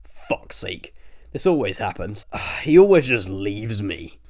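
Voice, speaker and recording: A man's voice: lowish voice, big pitch swings, slow pace, creaky, a little mellow, heavily acted. A man voicing a sulky, grumbling young adventurer lad in an audiobook.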